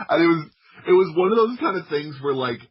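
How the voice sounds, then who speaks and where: A man from the United States telling personal stories casually as a podcast guest, in an informal, humorous way with a husky voice. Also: fairly high pitch, highs cut off. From United States (Florida). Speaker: podcast guest, audio drama creator.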